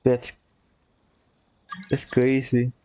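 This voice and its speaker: A woman's voice: a bass voice, completely flat delivery, drawn-out words, mellow, highs cut off. A woman streamer giving casual, laid-back commentary over gameplay with amused asides. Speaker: gaming streamer.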